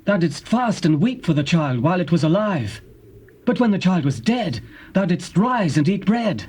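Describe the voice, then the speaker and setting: A man playing an anxious royal servant in a scripture drama, with a husky voice and theatrical, worried delivery. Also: high voice, very animated, normal speed, darkish tone, heavily acted. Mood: bewildered. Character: anxious male royal servant, puzzled by his king.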